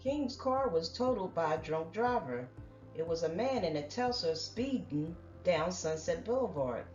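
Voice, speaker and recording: A woman reporting celebrity news in a steady, read-out narration. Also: on the low side, moderate pace, distant mic. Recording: roomy, dull sound with faint music.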